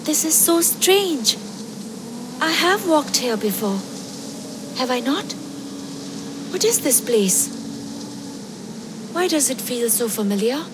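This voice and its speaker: A woman's voice: sits high, very animated, noisy background, exaggerated voice. A woman voicing a determined young game heroine who muses to herself in wonder. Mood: puzzled. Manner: slow, musing to herself. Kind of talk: video game character acting.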